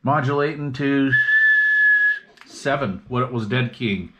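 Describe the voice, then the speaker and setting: A man's voice: mid-range voice, unhurried. A man talks casually and slangily, thinking aloud as he demonstrates gear at a workbench. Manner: laid-back, slangy, muttering to himself. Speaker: hobbyist vlogger demonstrating radio repair. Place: indoor radio workbench. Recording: clearly noisy workbench recording with clicks.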